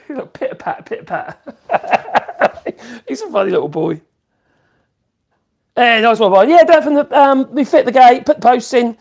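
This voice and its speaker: High, gravelly man in his fifties. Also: expressive, a little slow, long pauses, a little mellow. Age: 50s. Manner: rambling and chatty, coos to his puppy in a sing-song voice. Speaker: woodworker hosting a livestream. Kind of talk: casual livestream chat.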